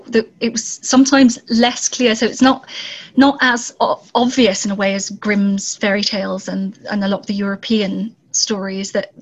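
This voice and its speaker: A woman author raised in Zambia, talking thoughtfully in a conversation, often pausing and restarting her sentences. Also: fairly high pitch, muffled recording. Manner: thoughtful, hesitant with many restarts. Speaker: children's author. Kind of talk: reflective conversation.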